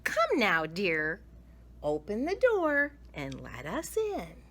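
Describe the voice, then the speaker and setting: A woman voicing a storybook mother who coaxes sweetly and gently in a sing-song way, trying to stay calm. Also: mid-range voice, takes it slowly, pitched above the performer's own voice, heavily acted. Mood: calm coaxing.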